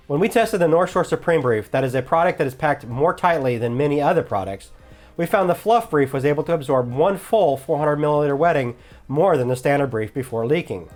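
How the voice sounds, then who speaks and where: Higher-voiced man narrating over music. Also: darkish tone. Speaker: host of a product review channel. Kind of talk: scripted instructional narration.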